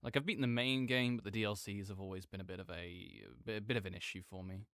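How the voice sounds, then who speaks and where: A man plays an easygoing, apologetic gamer roommate in a late-night audio roleplay. His voice is clear and smooth, captured dry and close. He talks in a casual, rambling way, often doubling back on himself with mild exasperation. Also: lowish voice, steady tone, fast talker, fairly bright tone, no room sound. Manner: rambling, hesitant, self-interrupting, mildly exasperated. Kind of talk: casual improvised-sounding audio roleplay monologue.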